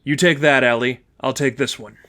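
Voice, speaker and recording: A man's voice: mid-range voice, steady tone, moderate pace, sharp tone, lightly acted. A man voicing a proud, impatient boy vigilante, curt and irritated, in a storybook reading. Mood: bossy.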